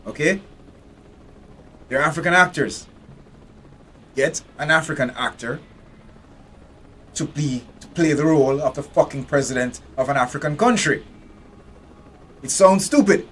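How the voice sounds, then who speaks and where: A man's voice: fairly high pitch, a little slow, pauses often, fairly bright tone, a little hiss or hum, low-quality recording. A man from Jamaica, giving blunt, profane live commentary while streaming a game.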